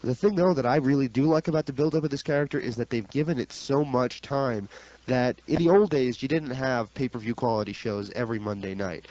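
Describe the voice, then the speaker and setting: Male co-host, medium pitch, explanatory and opinionated, slightly muffled lo-fi sound. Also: fairly quick, darkish tone. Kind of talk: casual analytical commentary.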